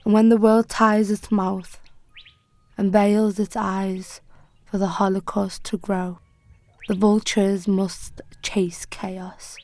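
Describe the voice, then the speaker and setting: A woman poet giving a slow, measured, solemn spoken-word poetry reading. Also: mid-range voice, little pitch movement, a little mellow, dry recording.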